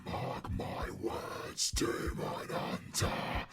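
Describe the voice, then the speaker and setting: A man voicing a menacing demon monster in a fantasy audio roleplay, with a harsh growling rasp and drawn-out threats over loud noisy ambience. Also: whispered. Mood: menacing, vengeful. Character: menacing dying demon monster cursing its hunter.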